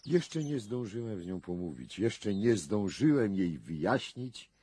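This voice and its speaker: Wry, assured male investigator for radio drama: deliberate, slow, melodically varied delivery. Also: fairly low pitch, sing-song, dark tone, a little hiss or hum. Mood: explaining. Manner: theatrical, deliberate phrasing with dramatic pauses. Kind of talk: 1990s Polish radio drama acting.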